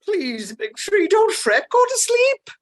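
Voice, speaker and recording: A woman playing an earnest, anxious but brave hobbit servant in a script table read, pleading and excitable. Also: extremely high pitch, takes it slowly, full character voice.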